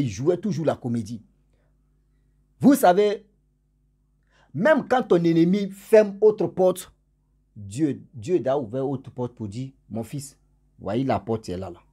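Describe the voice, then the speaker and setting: A man with a cold and a cough, telling a story to his followers in a casual spoken way. He repeats words for emphasis and swings between loud stressed words and endings that fade low. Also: big pitch swings, measured pace, pauses often, breathy edge. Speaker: storyteller for his channel's subscribers.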